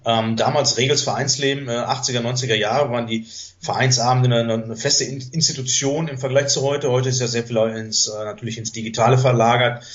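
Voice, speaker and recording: A man in his fifties, a podcast guest with Greek as his mother tongue, talks at a middle pitch through a muffled, lo-fi recording. He tells his story in a loose, rambling way, often stopping on fillers and correcting himself mid-word. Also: steady tone, brisk. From Germany. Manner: rambling, many hesitation fillers and self-corrections. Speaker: podcast guest, chess master. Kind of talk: casual conversational storytelling. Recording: dull lo-fi podcast audio.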